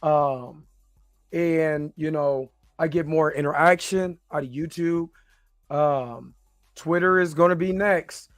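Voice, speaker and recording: A man with a slightly hoarse voice hosting a livestream, talking casually in an informal, rambling, hesitant way. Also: on the high side, slow pace, no echo, clean studio sound, faint music behind. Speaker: host of a livestream reaction show.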